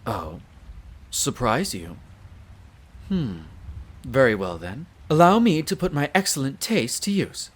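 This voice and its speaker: A man's voice: medium pitch, very animated, slow pace, long pauses. A man playing a dutiful wolf butler in an audio roleplay, very formal and deferential, a little nervous. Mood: courteous, attentive. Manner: very formal, deferential, hesitant when flustered. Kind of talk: scripted audio roleplay acting.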